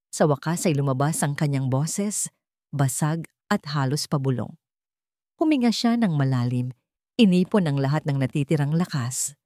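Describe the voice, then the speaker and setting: A woman reading scripted drama narration with emotive delivery. Her voice sits a bit lower than most women's, and the sound is dry and close with no room echo. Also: a little mellow. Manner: emotive storytelling.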